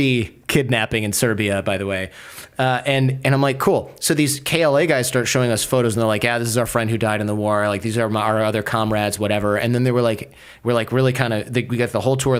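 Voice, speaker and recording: A young adult man telling a travel story in a casual chat, animated and acting out the people he quotes. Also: fast talker, clear, resonant tone. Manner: animated, acts out quoted voices with raised pitch.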